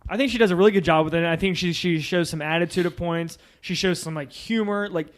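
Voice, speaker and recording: A man's voice: high voice, fast. A man co-hosting a film podcast, talking casually and loosely, sometimes retelling scenes conversationally. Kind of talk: casual movie discussion.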